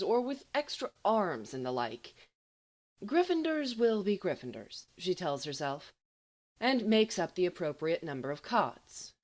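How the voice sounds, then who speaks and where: A woman reading fiction aloud as an audiobook narrator, in an expressive scripted storytelling style. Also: medium pitch. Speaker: audiobook reader.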